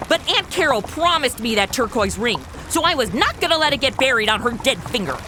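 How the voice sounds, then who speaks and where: A woman's voice: fairly high pitch, big pitch swings, brisk. A woman playing a brash, sarcastic, whiny modern American sidekick in a comedy audio drama, full of slang. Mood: flippant. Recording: noisy, rain-like hiss behind.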